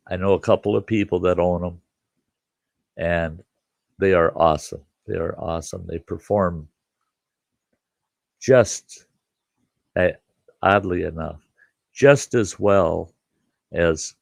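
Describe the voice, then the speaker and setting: An older man with a congested voice, hosting a relaxed live chat, greeting viewers by name and rambling with frequent chuckles. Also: low voice, takes it slowly, creaky, long pauses, dry recording. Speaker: livestream host.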